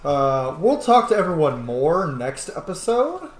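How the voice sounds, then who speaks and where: A man's voice: a little high, big pitch swings, measured pace. A man hosting a casual game roleplay stream, chatty and swearing freely. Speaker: gaming roleplay host. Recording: echoey room with faint noise.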